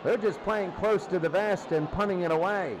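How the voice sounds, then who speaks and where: High-pitched male football play-by-play announcer. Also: normal speed. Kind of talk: live football play-by-play commentary. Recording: loud crowd noise behind voice. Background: loud stadium crowd.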